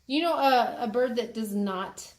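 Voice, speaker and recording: A woman's voice: on the high side, a little slow. A woman reading aloud with a child and teaching how to sound out words in an instructive way, stretching them as she reads. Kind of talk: read-aloud phonics lesson with casual chat. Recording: echoey room, slightly distant, faint background noise.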